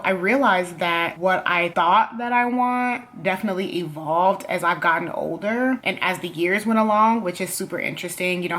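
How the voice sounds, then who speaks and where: A woman's voice: fairly low pitch, a bit bright. A woman in her thirties speaking casually and candidly, reflecting on a book and her own experience as a host. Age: 30s. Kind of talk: casual personal book review.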